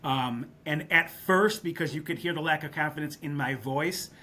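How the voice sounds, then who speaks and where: A man from western New York recounts his early career as a podcast guest in a candid, anecdotal way. His voice sits a little higher than most men's, and he keeps tossing in quick "right?" checks as he talks. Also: sharp tone. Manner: candid, anecdotal storytelling with frequent "right?" asides. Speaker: guest, real estate business leader. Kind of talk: podcast interview storytelling.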